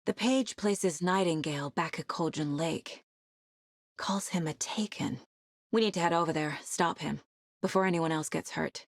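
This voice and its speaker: A woman playing a determined, sharp investigator in a horror video game, measured and introspective, often as if talking to herself. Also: low-pitched, dry recording, clean studio sound. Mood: focused, pensive. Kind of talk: cinematic video game acting, naturalistic.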